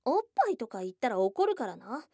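A woman's voice: on the high side, very animated, a little fast, dark tone, breathy edge, dry recording, studio quality, full character voice. A woman voicing a shy, blunt young goblin girl in love, in an intimate ASMR voice drama with tomboyish, affectionate speech. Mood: teasing, earnest. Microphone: close dry studio mic.

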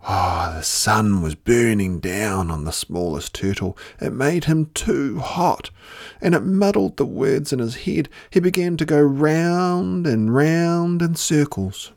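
A man reading a children's story aloud with expressive delivery and drawn-out, dramatic emphasis. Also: mid-range voice, no room sound, clean studio sound.

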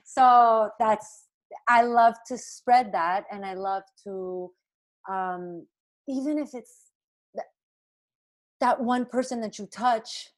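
A woman's voice: on the high side, takes it slowly, pauses often. A woman in her thirties, a podcast guest in casual chat, upbeat and storytelling.

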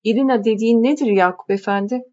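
A woman reads the part of a timid young woman in a storybook, polite and asking careful questions. The pitch lifts a little above her usual reading. The sound is slightly muffled. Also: quick pace, dark tone, close to an everyday voice. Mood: curious.

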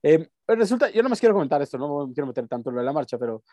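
A man's voice: a little high, sing-song, racing, mellow, no room sound, dull audio. A young man with a Mexican accent, co-hosting a podcast in slangy, rambling casual chat. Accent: Mexican Spanish. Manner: slangy, rambling, breaks into bits of song. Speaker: podcast co-host.

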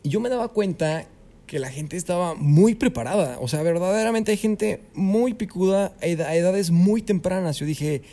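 Mellow-voiced young Mexican man telling stories. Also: high-pitched, moderate pace. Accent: Mexican Spanish. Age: young adult. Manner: animated storyteller, leans on "¿sabes?". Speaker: student guest. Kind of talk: casual podcast interview.

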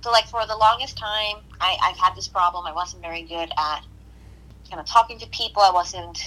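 Bright-voiced hesitant woman from Puerto Rico. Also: fairly low pitch, brisk, poor audio. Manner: hesitant, with restarts and soft laughs. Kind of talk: casual conversation.

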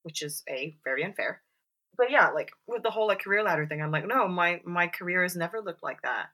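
A woman talks casually in a low voice with a bright, forceful edge. She fills her speech with "like" and "you know", and she acts out the lines of people she quotes. The talk stays loose and conversational throughout. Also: animated, fairly quick. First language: English. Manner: chatty, many fillers and "like"s. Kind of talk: casual chat.

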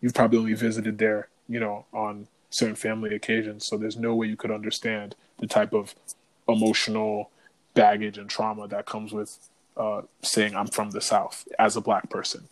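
Even-toned, low-voiced man talking casually. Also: normal speed, poor audio. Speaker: podcast co-host. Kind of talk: casual podcast discussion.

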